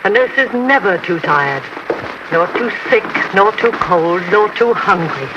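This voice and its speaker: An actress playing a stern, commanding head nurse in an old film drama. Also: female voice, medium pitch, lively intonation, measured pace, background noise, natural voice. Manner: commanding, urgent. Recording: dull vintage film soundtrack with music.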